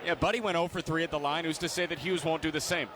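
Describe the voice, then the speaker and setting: Male basketball analyst, slightly high, noisy arena. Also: steady tone, quick pace. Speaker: colour commentator. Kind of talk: live radio basketball analysis.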